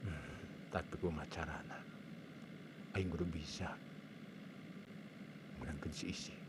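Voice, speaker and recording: Man acting a scheming, slow-talking Sundanese cartoon suitor. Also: extremely low pitch, steady tone, heavy background noise. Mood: brooding, scheming. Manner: muttering to himself, sighing, exaggerated exclamations. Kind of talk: Sundanese comic animated storytelling, acted dialogue.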